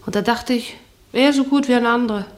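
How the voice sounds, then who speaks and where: An actress playing a sensual, dreamy, plain-spoken wife who muses to herself in a German radio drama monologue. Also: female voice, a little high, fast talker, lightly acted. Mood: rapturous. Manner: musing, intimate, talking to herself.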